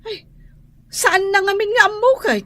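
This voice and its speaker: A woman's voice: extremely high pitch, normal speed, bright, forceful tone, no room sound. A woman playing a knowing, nosy village gossip in a radio drama, chatty and insinuating. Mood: gossipy.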